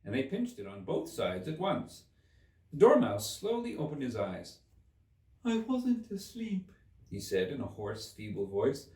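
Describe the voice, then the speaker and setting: A man's voice: lowish voice, very animated, normal speed, mellow. A man reading a children's classic aloud as scripted narration. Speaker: storybook reader. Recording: roomy, distant microphone.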